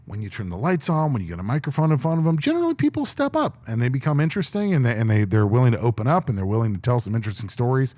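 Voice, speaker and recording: A young man with a deep voice, co-hosting a podcast in casual, talkative chat. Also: very fast, few pauses, no room sound, studio quality. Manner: talkative, animated. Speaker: podcast co-host.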